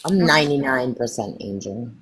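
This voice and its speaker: A woman's voice: very deep voice, animated, normal speed. A woman joining a casual livestream, joking and teasing. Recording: noisy livestream audio.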